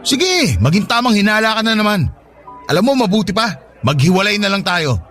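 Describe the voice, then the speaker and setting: High-voiced, defensive young boyfriend. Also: male voice, sing-song, brisk, a bit bright, soft background music. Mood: defensive, irritated. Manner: defensive, exasperated. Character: irritable, evasive, fickle young boyfriend. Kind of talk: Tagalog radio drama acting.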